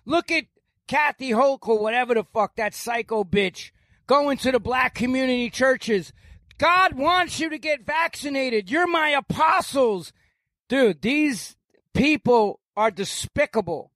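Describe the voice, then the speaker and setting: A very high-pitched man in his sixties rants loudly, cursing constantly as a podcast guest. His voice is dry and close, with no room around it. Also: expressive, a little slow, no echo. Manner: loud, profane, ranting. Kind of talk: heated podcast conversation.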